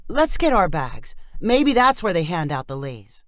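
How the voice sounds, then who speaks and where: A woman narrator reads the part of a young groom in a storybook, mild and practical. He sounds reassuring, with an expressive pitch range and a dry sound. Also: unhurried, dry recording. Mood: mildly reassuring.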